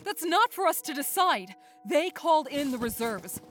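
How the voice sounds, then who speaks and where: A woman's voice: sits high, very animated, moderate pace, music in the background. A woman playing a dutiful, resolute soldier in an audio drama, speaking with urgent insistence. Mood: resigned, pressing.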